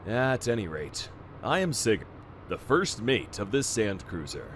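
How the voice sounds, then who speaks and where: A man's voice: fairly low pitch, sing-song, a little slow, slight background noise. A man playing a stern, dutiful first mate who speaks formally and firmly in an audio drama. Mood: firm, polite. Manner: formal, firm.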